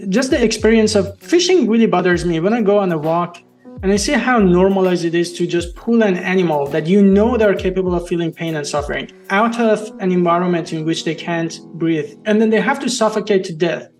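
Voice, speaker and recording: A man whose first language is Farsi, hosting an interview and speaking in an earnest, measured way. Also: sits high, a little mellow, soft background music. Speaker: host. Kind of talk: interview conversation.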